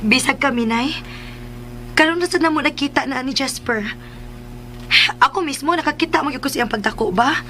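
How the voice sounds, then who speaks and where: Caring young woman in radio drama, confiding, high bright voice, bit of background noise. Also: moderate pace, long pauses. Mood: confiding, puzzled.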